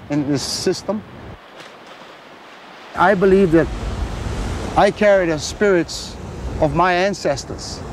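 A man's voice: on the high side, slight background noise. A middle-aged man from Hawaii, speaking firmly and from the heart in a documentary interview on a rocky shore. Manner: firm, heartfelt and outspoken. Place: outdoors on a rocky shore by the surf.

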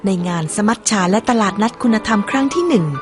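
A woman reading scripted documentary narration in a measured, polished way. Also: on the high side, big pitch swings, music in the background.

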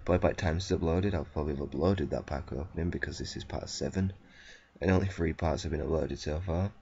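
A man rambling through casual commentary on a football management game, flat and monotone because his throat feels off, swearing and correcting himself. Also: extremely low pitch, quick pace, husky, highs cut off. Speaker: gameplay commentator. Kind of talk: casual let's-play commentary.

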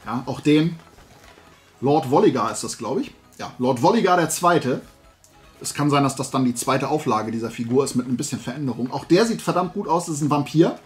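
A man's voice: medium pitch, animated, a little fast, soft background music. A man in his forties talking casually and enthusiastically as he presents a toy haul to viewers, rambling along conversationally. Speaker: host of a toy collecting video show. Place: small room full of toy shelves, indoors.

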